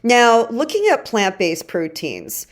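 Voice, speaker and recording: A woman's voice: on the high side, wildly swooping pitch, slow. A woman from Egypt hosting a podcast, explaining things in an informal, emphatic way and speaking directly to her listeners. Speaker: podcast host and chef. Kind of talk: conversational explainer on nutrition.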